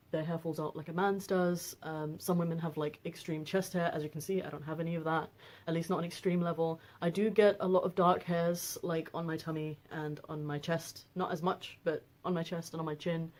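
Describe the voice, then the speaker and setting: A woman in her twenties with a deep voice, talking casually on a personal vlog with lots of fillers and restarts. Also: darkish tone. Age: 20s. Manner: rambling, frequent fillers and restarts. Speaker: vlogger.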